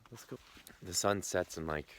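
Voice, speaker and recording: A very low-pitched man speaks to his camera in a hoarse, worn voice, sick with a cold. He chats in a confiding way and sometimes drops to a whisper to save what is left of his voice. The talk is casual and personal. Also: normal speed, a bit bright, slight background noise. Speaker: vlogger. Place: outdoors in the woods.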